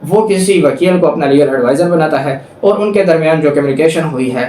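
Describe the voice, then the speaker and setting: Echoey, higher-pitched Pakistani male law lecturer. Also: darkish tone, slight background noise. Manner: slow, deliberate English reading, quicker Urdu explanations with English legal terms. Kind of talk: lecture, reading statutes aloud then explaining. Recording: echoey room, distant microphone.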